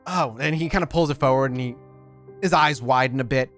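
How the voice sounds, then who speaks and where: A man's voice: mid-range voice, a little fast, fairly bright tone, soft background music. A man running a tabletop roleplay as game master, describing scenes in a loose, conversational storytelling way.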